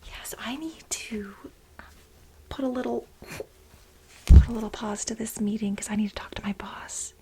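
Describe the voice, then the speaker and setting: A woman acts out a frantic female office assistant with a grievance, in an ASMR role play. Her voice is fairly high and breathy, mostly dropped to a whisper. She pleads and stammers, repeating words for melodramatic emphasis. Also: fairly even intonation, moderate pace, pauses often, mellow, natural voice. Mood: urgent, insistent.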